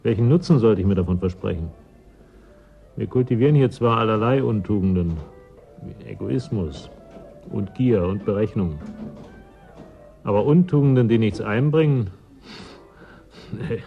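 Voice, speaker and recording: A man playing a cynical, calculating schemer in a German radio drama, speaking in a sardonic, deliberate, insinuating way. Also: lowish voice, vocal fry, muffled recording, faint music behind. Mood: cynical musing. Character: calculating, cynical male schemer in a frozen settlement.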